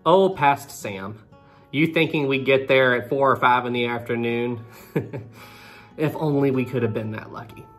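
A young man in his twenties telling a travel story to camera in a small room, animated and conversational. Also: mid-range voice, measured pace, faint music behind. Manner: animated, conversational, addresses viewers directly. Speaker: travel vlogger.

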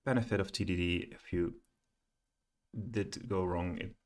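A middle-aged man thinking aloud as he presents a coding screencast, halting and muttering to himself at a computer. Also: deep voice, fairly even intonation. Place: small room indoors at home, at a computer. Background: keyboard typing and clicking.

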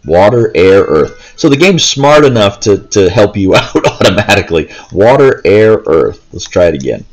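Low-voiced, lively male gameplay commentator. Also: normal speed, low-quality recording. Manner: rambling, step-by-step explaining. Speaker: gameplay video host. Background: faint game music.

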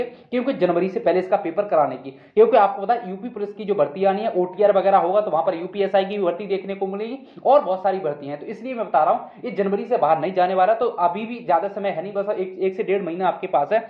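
A man giving an informal, instructive explainer to exam candidates, often repeating himself and checking in. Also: high-pitched. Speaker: exam news video presenter. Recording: roomy echo, dull muffled sound.

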